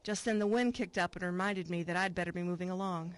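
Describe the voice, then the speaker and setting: A woman's voice: on the low side, fairly even intonation, fast, lightly acted. An actress playing a troubled middle-aged woman, earnest and reflective, in a narrated radio drama. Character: troubled, wistful woman returning home to her estranged mother. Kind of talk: radio drama acting with first-person narration. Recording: clear background noise.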